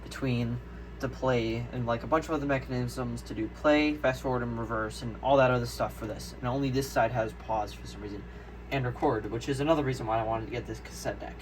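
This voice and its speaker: Young male hobbyist, casual rambling vlog delivery, mid-range pitch, some background noise. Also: fairly even intonation, brisk. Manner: rambling, easily sidetracked. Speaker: hobbyist video maker. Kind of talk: casual unscripted show-and-tell. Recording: noticeable background noise, amateur home video.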